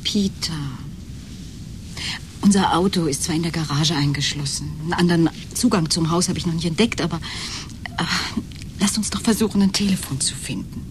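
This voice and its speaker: Schauspielerin als ängstlich drängende Frau, gedämpft. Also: female voice, lowish voice, fairly quick, background noise, close to an everyday voice. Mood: urgent, hushed. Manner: hushed, pressing, sometimes whispering. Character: anxious, urgent woman pleading to escape. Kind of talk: German radio play acting.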